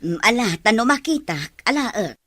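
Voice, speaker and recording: A woman's voice: fairly high pitch, expressive, normal speed, fairly bright tone, dry recording. A woman with an Ilocano accent playing a chatty, obliging middle-aged mother in a radio drama.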